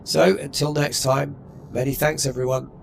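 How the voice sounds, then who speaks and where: A man narrating a game walkthrough in a measured, matter-of-fact way. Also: medium pitch, little pitch movement, gravelly, a little hiss or hum. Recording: music playing behind.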